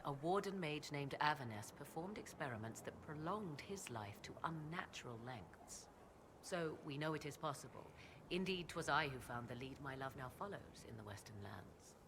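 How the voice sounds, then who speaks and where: A woman's voice: rumbling low, background noise. An actress playing a proud, aloof female witch and mother in a fantasy video game cutscene. She speaks in formal, archaic diction with a haughty, dry manner.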